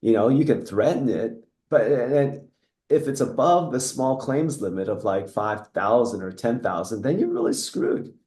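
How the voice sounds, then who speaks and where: Guest, middle-aged US man, mellow, reflective and rambling, echoey room. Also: mid-range voice, sing-song, normal speed. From United States (Seattle; born in Taiwan). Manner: reflective, rambling with restarts and soft laughs. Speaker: guest, entrepreneur. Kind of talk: casual interview.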